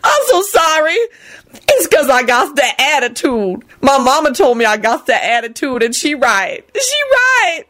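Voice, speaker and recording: A woman voicing a loud, dramatic big woman in a storybook, hollering and wailing in folksy dialect. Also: medium pitch, sing-song, unhurried, few pauses, husky, no room sound, exaggerated voice. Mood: sobbing, remorseful.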